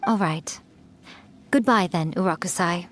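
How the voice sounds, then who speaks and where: A woman's voice: lowish voice, a little slow, slight background noise, close to an everyday voice. A woman voicing a sly, self-assured fox spirit with a smug, playful manner in an anime game.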